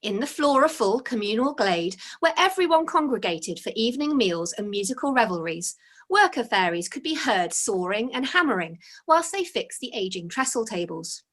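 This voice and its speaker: A woman reading her own children's story aloud as its author, in an expressive storytelling style. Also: a little high, moderate pace.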